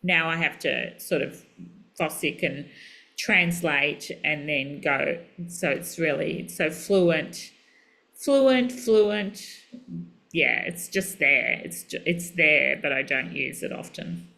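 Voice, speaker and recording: A woman whose first language is Italian, a podcast guest chatting casually, trailing off and restarting with soft laughs. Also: deep voice, slow, vocal fry. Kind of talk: casual conversation.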